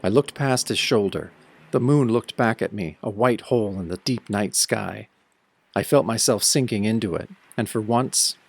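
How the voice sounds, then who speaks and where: A man playing a brooding, tough hero who narrates an audio drama in the first person with grim, deliberate delivery. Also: lowish voice, creaky, husky. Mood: ominous. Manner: grim, deliberate hardboiled storytelling. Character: brooding tough male hero recounting his nightmare. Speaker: narrator and protagonist.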